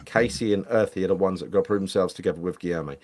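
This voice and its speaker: Host, man in his 50s, lowish voice, loose rambling chat over a webcam. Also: rapid-fire, a little mellow. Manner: chatty, rambling, repeats phrases. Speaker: podcast host. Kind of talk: casual football chat reading live comments. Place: home room.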